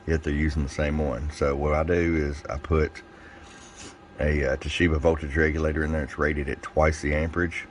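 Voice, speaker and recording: A man giving a casual, folksy technical product demonstration as a radio technician and seller. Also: a bass voice. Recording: clear background noise, room ambience.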